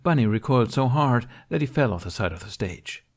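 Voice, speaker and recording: Man narrating a story, very animated pitch. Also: a little fast. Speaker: fan fiction reader.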